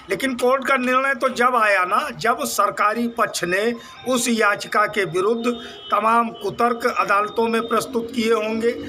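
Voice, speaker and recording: A man giving a spontaneous reaction to the press, arguing haltingly and repeating phrases. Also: high-pitched, sharp tone. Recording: clear ambient noise around voice.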